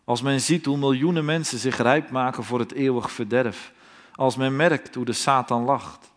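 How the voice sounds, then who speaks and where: A man's voice: medium pitch, normal speed. A man preaching a fiery sermon in a solemn, pleading tone, firing off rhetorical questions.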